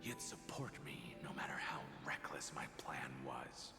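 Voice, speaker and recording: Very deep, hushed, solemn warrior leader. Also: male voice, lively intonation, creaky, in a whisper, full character voice. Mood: grave, resolute. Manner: measured, grave, formal. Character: solemn, weary male Vidyadhara leader with hidden anguish. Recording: long echo, music behind.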